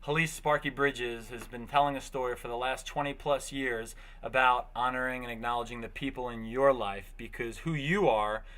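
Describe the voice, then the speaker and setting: A man presenting and reading an inspirational story aloud in an earnest, deliberate way with dramatic pauses. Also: mid-range voice, pushed and bright, no echo.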